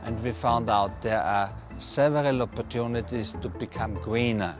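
Middle-aged English speaker, earnest documentary interviewee, music underneath. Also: male voice, mid-range voice, moderate pace. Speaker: brewery representative interviewee.

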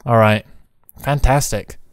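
A man's voice: mid-range voice, slow, no echo, studio quality. A man giving casual gaming commentary to a co-player, often chuckling as he talks. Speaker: video game player. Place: indoor voice-over close on mic during gameplay.

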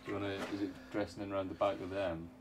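A man's voice: deep voice, level tone, rapid-fire, background noise. A man working alongside an electrician, asking short practical questions about the job. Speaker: electrician's coworker. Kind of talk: casual work chat.

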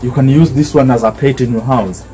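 Young kenyan guy doing a tour guide explainer, soft mellow voice, repeats himself, bit of music under it. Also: mid-range voice, fairly even intonation, a little fast, rough recording. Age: young adult. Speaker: snake park guide. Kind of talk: informal guided tour talk. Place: open-sided snake park shelter with mesh walls.

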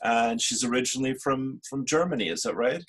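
Husky older man hosting a video call. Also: mid-range voice, a little fast. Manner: warm filler-heavy, hesitant, says "sure" often. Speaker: host. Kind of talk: casual video-call interview. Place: cluttered room at home.

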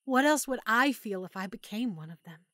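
A woman's voice: a little high, expressive, fairly quick, a bit bright, no echo, clean studio sound. A woman voicing a young woman who is turning into a zombie, reading out her final letter in a strained, fearful way. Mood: desperate. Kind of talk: audiobook character monologue.

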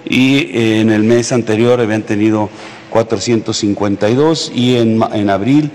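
A middle-aged man with a Mexican accent gives a formal briefing as an official, haltingly and with frequent hesitations. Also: on the low side, a little mellow. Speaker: military official giving a security briefing. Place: large indoor hall at a press conference. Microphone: podium microphone. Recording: echoey hall with background noise.

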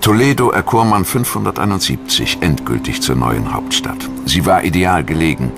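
Very deep, gravelly male documentary narrator. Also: very animated, moderate pace, over music. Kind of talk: scripted narration.

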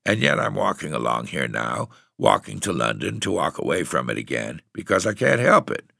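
A man voicing a frail, husky eighteen-year-old runaway tramp boy with a cocky, bitter, streetwise way of talking. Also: very deep voice, very animated, normal speed, pushed and bright, no room sound, clean studio sound, heavily acted. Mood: defiant, streetwise. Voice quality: husky, hoarse.

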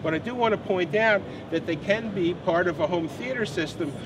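A man's voice: high-pitched, moderate pace. A man in his sixties explaining a product in an interview, unscripted, enthusiastic and emphatic. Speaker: company president, product designer being interviewed. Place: busy indoor exhibition booth. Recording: noisy trade show floor.

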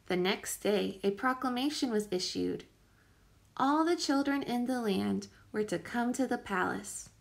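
A woman reading a children's picture book aloud as a teacher would, with careful, expressive storytelling emphasis. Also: on the high side, unhurried, vocal fry.

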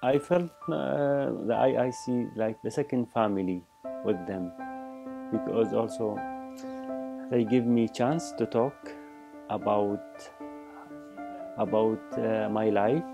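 Slow, gravelly-voiced man, halting speech. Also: on the low side, music in the background. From Iraq. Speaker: interviewee. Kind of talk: personal testimony interview. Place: indoor office with bookshelves. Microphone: lapel mic.

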